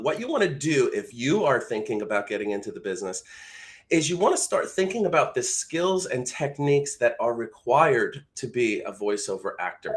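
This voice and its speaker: A man hosting an informal instructional live show, explaining things directly to the listener as a teacher would. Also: medium pitch. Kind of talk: informal instructional talk to camera.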